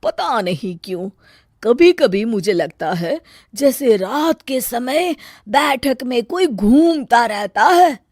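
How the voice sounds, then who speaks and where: Cartoon mother-in-law played by a woman: older, bitter schemer, sharp tongue, raised pitch, dramatic grumbling. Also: animated, slow, no echo, studio quality. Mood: feigned worry. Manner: grumbling, sarcastic, theatrical. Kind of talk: Hindi cartoon story dialogue.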